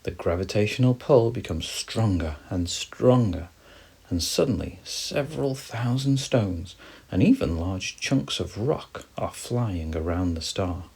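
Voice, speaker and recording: A man gently reading a bedtime story for children, expressive and soft. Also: deep voice, measured pace, creaky, slightly rough.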